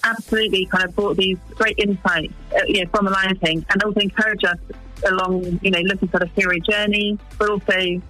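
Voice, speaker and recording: A woman in her forties who grew up in East Africa, speaking as a wildlife filmmaker in an interview, earnest and pausing to find her words. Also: mid-range voice, steady tone, sharp tone, soft background music, rough recording. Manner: earnest, searching for words with restarts. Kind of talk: interview guest.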